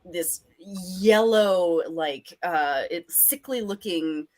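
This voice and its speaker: A woman's voice: lowish voice, very slow. A woman acting as game master, improvising descriptive narration with playful, dramatic asides in a tabletop roleplaying game.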